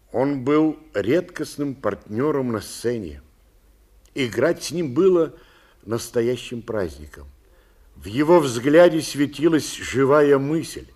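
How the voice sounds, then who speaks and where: A man reading a recollection aloud in a measured, declamatory manner. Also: mid-range voice, darkish tone.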